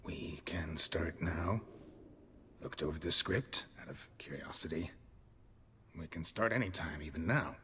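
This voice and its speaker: An actor playing a gruff, laconic witcher in a video game, with a gravelly voice and terse, dry delivery. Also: sits low, measured pace, a bit bright, long reverb tail, slight background noise. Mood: matter-of-fact. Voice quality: gravelly, husky. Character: gruff, laconic male witcher with cat eyes. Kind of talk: video game dialogue acting.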